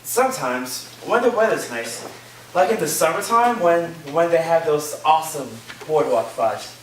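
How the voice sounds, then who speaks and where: A man's voice: fairly high pitch, expressive, a little slow. A man as a friendly student, echoey and distant. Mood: friendly, casual. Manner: casual, hesitant, amiable. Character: friendly, chatty young male returning college student. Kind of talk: staged play reading. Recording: echoey, distant room recording with faint noise.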